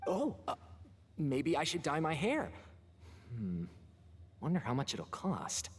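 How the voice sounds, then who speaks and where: A woman's voice: low-pitched, sing-song, slow pace, long reverb tail, a little hiss or hum, exaggerated voice. A woman voicing a friendly, casual young opera fan in a video game, chatty and a little hesitant. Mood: pondering.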